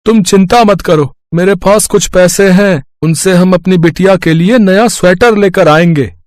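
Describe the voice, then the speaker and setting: A man playing a warm-hearted village man, reassuring and enthusiastic, in an audio story. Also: high-pitched, moderate pace, dry recording, lightly acted.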